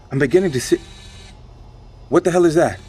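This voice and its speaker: An actor playing a guarded, dry-witted man in an audio drama, terse, with a gravelly, husky voice. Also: fairly high pitch, a little slow, darkish tone.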